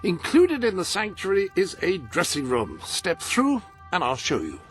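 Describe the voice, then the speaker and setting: Prim loyal British manservant, game cutscene, high-pitched, hugely expressive intonation, formal diction, faint music. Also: male voice, heavily acted. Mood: helpful, fussy. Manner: formal, fastidious, wordy. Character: fussy, learned, loyal royal butler. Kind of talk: video game cutscene acting.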